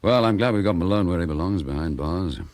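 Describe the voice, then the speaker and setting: An actor playing a dogged, weary English police chief inspector and protective husband in a radio drama. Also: male voice, sits low, animated, fast, darkish tone, dry recording. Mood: fondly insistent.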